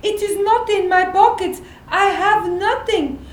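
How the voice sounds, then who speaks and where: An actress plays a naive, powerful woman with a very high voice and slow, stilted, over-literal phrasing. She has a vengeful temper, in a dramatic sketch. The sound is echoey. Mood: anxious. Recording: echoey room with faint background noise.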